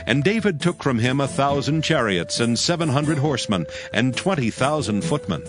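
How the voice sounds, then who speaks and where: A man narrating scripture from a script in a formal, measured storytelling manner. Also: medium pitch, lively intonation. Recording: music behind the voice. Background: music.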